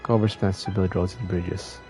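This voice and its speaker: A man's voice: deep voice, steady tone, moderate pace, warm tone, low-quality recording. A man streaming a game and reading its text aloud in a plain delivery. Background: game music.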